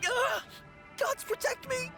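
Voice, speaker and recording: High-voiced, jittery young undertaker. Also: male voice, steady tone, slow pace, creaky, pushed and bright, faint music behind, exaggerated voice. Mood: terrified. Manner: fearful, stammering, pleading. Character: timid, jittery young male apprentice undertaker, easily frightened. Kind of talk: English video game voice acting.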